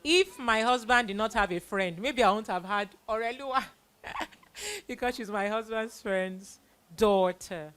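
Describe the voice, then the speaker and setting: A middle-aged woman hosting children on stage, giving an informal, animated vote of thanks and speaking to an audience. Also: a little high, measured pace. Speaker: host presenting child performers of a church play.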